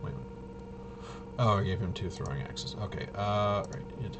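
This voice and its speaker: A man's voice: on the low side, fairly even intonation, moderate pace, background noise. A man talking casually and thinking aloud through a game walkthrough, rambling and muttering to himself with playful little interjections. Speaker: host of a tabletop RPG channel.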